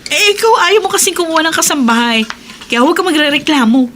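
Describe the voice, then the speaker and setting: An actress playing a defiant, resentful married daughter who complains sharply in a Filipino radio drama, with a Filipino accent. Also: female voice, high voice, lively intonation, fast. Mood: defensive, irritated. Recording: noisy radio drama recording.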